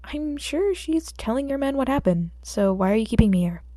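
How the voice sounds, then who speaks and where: A woman's voice: sits high, expressive, normal speed, mellow, no echo, clean studio sound, pitched above the performer's own voice. A woman voicing a cheeky, defensive teenage boy vigilante in an audiobook, protesting with exaggeration. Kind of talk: audiobook character dialogue.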